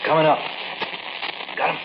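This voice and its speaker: An actor playing a small, spry, bossy American robber in a 1940s-style radio drama, giving terse, impatient orders. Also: male voice, normal speed, lightly acted. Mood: terse, urgent. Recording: dull, crackly old radio recording, loud noise.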